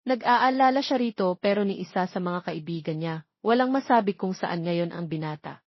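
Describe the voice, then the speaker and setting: A woman's voice: fairly low pitch, animated, normal speed, no room sound, dull audio. A woman with a Filipino accent reading a scripted romance story as its narrator.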